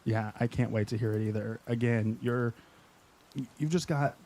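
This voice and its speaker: A man's voice: medium pitch, moderate pace, mellow, a little hiss or hum. A man hosting a live stream, chatting enthusiastically with a guest and passing on questions from chat. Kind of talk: casual interview chat.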